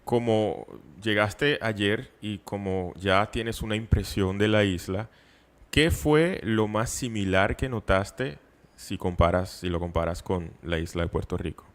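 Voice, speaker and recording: A man, a Papiamento speaker, hosting a conversational interview in a wordy, halting way. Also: lowish voice. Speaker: talk show host.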